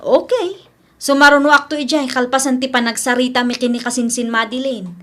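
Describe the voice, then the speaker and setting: An actress with a Filipino accent playing a hardworking wife and trusting cousin in an Ilocano radio drama. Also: female voice, high voice, steady tone, brisk, a bit bright. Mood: brisk, practical.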